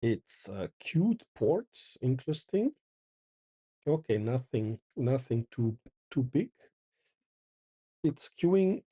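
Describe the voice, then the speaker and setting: Middle-aged man host, husky and unhurried, talking himself through commands. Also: mid-range voice, sing-song, warm tone. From Porto, Portugal. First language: Portuguese. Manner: thinks aloud while reading out commands. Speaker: channel host. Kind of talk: casual live coding commentary.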